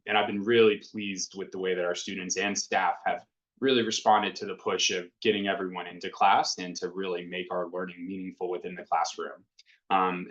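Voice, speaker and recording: A man's voice: deep voice, a bit bright. A man running a school town hall as principal, answering questions in an earnest, reassuring way with many fillers. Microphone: video call. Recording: dull lo-fi video call audio.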